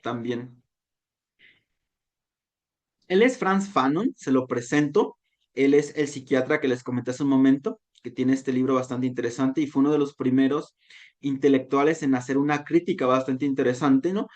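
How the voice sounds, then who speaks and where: A man's voice: medium pitch, normal speed, darkish tone. Mexican man lecturing, reflective "¿no?". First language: Nahuatl. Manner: reflective, tags sentences with "¿no?". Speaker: volunteer talk facilitator. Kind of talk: online lecture with slides.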